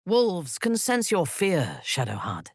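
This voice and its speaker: A woman playing a worldly, disdainful adventurer in a video game, with breathy delivery and dry, wry wit. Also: fairly low pitch, huge pitch swings, slow pace, dry recording, exaggerated voice. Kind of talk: video game character dialogue.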